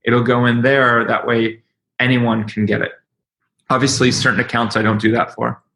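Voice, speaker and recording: Male webinar host, mid-pitched with noticeable vocal fry, explaining things informally and haltingly as he demonstrates. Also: little pitch movement, sharp tone, poor audio. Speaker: webinar host and company leader. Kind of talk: informal live software demonstration.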